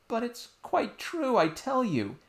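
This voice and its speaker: A man's voice: very high voice, huge pitch swings, takes it slowly, voice pushed up, exaggerated voice. A man voicing an upset young girl princess, earnest and proud, in a storybook reading. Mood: pleading. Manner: earnest, pleading. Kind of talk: audiobook character voice.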